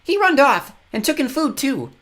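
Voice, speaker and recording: A man's voice: extremely high pitch, big pitch swings, normal speed, fairly bright tone, pitched above the performer's own voice, exaggerated voice. A man voicing a grubby, cheeky young boy from the fen who talks in broken dialect grammar.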